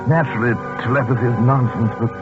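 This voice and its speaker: A man's voice: medium pitch, fairly even intonation, fast talker, natural voice. Man playing an obsessive scientist on tinny old radio. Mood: brooding, clinical. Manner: clipped, formal, dramatic diction. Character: obsessive scientist, proud and feverishly intense, narrating his experiment journal. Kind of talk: 1940s radio acting. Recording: tinny 1944 radio recording, music behind.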